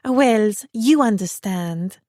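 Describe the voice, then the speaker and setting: A woman's voice: medium pitch, expressive, slow, mellow, dry recording, studio quality, voice pushed up. A woman voicing a snobbish female vineyard owner who puts on an exaggerated French accent and an affected, haughty tone. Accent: put-on French.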